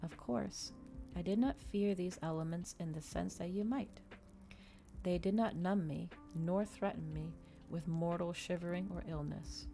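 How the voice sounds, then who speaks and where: A woman reading a story aloud in ASMR style, soft-spoken and measured, as a streamer narrating a novel. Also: fairly low pitch, gravelly, faint music behind.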